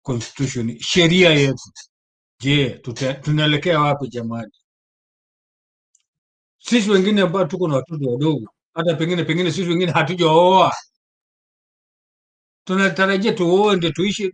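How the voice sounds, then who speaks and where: Fast, high-voiced Kenyan man. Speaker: participant in a political discussion. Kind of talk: impromptu political opinion.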